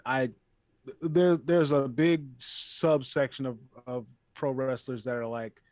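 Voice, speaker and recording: A man's voice: medium pitch, steady tone, slow pace, a little mellow, dry recording. Rambling man, dry close sound. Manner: rambling, hedging, many restarts. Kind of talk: casual chat.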